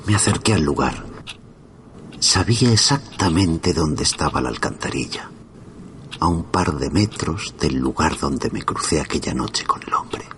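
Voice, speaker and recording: A man from narrating a first-person horror story in a slow, hushed, suspenseful manner. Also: rumbling low, lively intonation, slight background noise.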